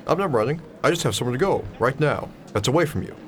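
A man's voice: medium pitch, expressive, fast talker, lightly acted. A young man playing an anxious, stubborn student in an audio drama. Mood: defensive. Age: young adult. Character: anxious, stubborn male student nobody believes.

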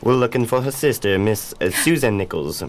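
An actor performs a polite, plodding police investigator in a radio drama. He is hesitant and plain-spoken, with a very mobile pitch and faint noise behind him. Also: lively intonation, normal speed, natural voice. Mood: hesitant, official.

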